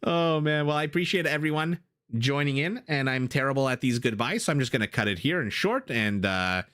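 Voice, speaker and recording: Raspy-voiced man casting a strategy game. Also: mid-range voice, fairly quick. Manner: excitable, laughing, with frequent fillers. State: raspy, dry throat. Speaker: game caster. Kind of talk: live game commentary. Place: home room.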